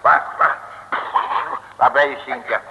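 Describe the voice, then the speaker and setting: An actor playing a bumbling, cocksure detective in an old radio comedy serial, colloquial and exclamatory. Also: male voice, mid-range voice, steady tone, slow pace, vocal fry, pushed and bright, breathy edge, exaggerated voice. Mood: flustered. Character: bumbling, cocksure male detective, cheery and blustering. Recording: dull, hissy old radio recording, long reverb.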